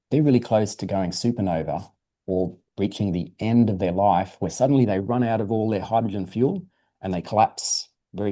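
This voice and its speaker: A man with a low, very soft-edged and mellow voice answers science questions. He explains things informally for young listeners, in an encouraging, unforced manner.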